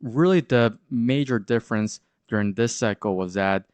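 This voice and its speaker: A man's voice: mid-range voice, moderate pace, a little mellow, smooth, dry recording. A man with a sore throat and a congested voice hosts his crypto channel, talking through market charts with lots of hesitant fillers and restarts. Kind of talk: casual market commentary.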